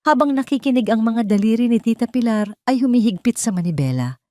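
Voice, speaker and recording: A woman's voice: a little high, animated, fairly quick, mellow, no echo. A woman reading a scripted dramatic story in an emotive storytelling manner. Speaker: story narrator.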